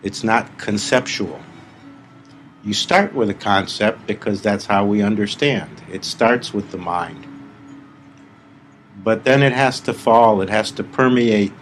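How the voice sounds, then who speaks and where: A man speaking as a spiritual teacher, giving a live guided meditation talk in deliberate short phrases with pauses. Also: fairly low pitch, faint music behind. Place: live before seated listeners in a room.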